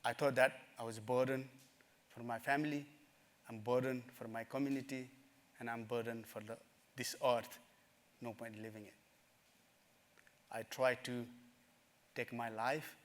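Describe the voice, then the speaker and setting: A middle-aged man from Nepal, telling his life story in a summit talk, earnest and halting, with many fillers. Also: medium pitch, level tone. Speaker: speaker at a summit. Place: conference stage.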